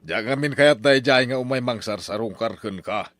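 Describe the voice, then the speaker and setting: A man's voice: medium pitch, a little fast, a bit bright, no echo, lightly acted. A man playing a stern, money-minded older father in a radio drama, with an Ilocano accent and emphatic delivery. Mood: scolding.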